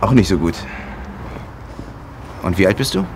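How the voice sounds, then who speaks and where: A friendly German flatmate in his mid-twenties, talking loosely with little hesitations. His low voice swings lively up and down over a clear background hiss. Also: a little slow, exaggerated voice. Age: 20s. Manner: relaxed, hesitant fillers. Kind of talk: scripted German-learning drama.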